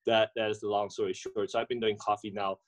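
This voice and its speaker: A man, speaking as a guest over a video call, halting and full of fillers and restarts. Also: fairly low pitch, little pitch movement. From Indonesia. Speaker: guest, coffee entrepreneur. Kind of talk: conversational interview answers. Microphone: webcam or laptop mic on video call.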